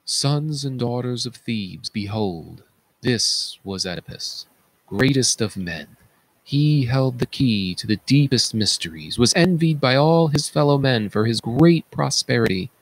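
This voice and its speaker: Man reading as a solemn gravelly tragic chorus. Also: mid-range voice, lively intonation, no room sound, pitched above the performer's own voice, rough recording. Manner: slow, solemn declaiming. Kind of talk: read-aloud Greek tragedy.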